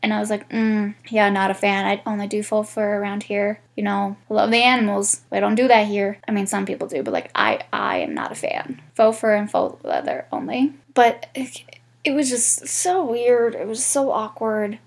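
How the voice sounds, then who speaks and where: A woman's voice: mid-range voice, moderate pace, creaky. A woman in her twenties with a stuffy, congested nose, chatting candidly to camera as a vlogger, swearing freely and rambling. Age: 20s. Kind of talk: casual chat to camera.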